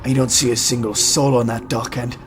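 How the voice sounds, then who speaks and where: A man playing a rough, colloquial soldier in a fantasy audio drama. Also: medium pitch, level tone, fairly quick, natural voice. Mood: wary puzzlement. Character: rough, unhurried male soldier escorting travelers. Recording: loud music bed underneath.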